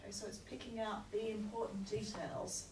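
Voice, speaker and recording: A woman lecturer giving a university lecture with a croaky voice, explaining at a measured pace with frequent checks like "okay". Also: mid-range voice, flat delivery. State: croaky, recovering from lost voice. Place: lecture hall. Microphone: room recording from far off. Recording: echoey hall, distant mic, noisy.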